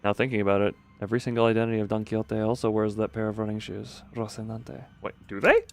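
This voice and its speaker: A man's voice: lowish voice, steady tone, quick pace. A man streaming a game, chatting casually and reading the story text aloud with dramatic delivery.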